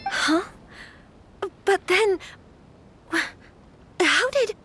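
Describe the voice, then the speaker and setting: A woman's voice: very high voice, lively intonation, very slow, a bit bright, heavily acted. A woman playing a polite, earnest young noblewoman in a video game, speaking formally and carefully with some hesitancy. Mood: surprised, confused.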